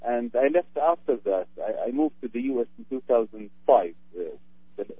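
A man's voice: mid-range voice, animated, moderate pace, husky, dry recording. A man from Iraq, a radio interview guest who speaks hesitantly with many fillers. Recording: dry, phone-like narrow sound.

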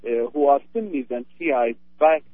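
A man's voice: medium pitch, lively intonation, moderate pace, dry recording. A man from Iraq, a radio interview guest who speaks hesitantly with many fillers. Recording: dry, phone-like narrow sound.

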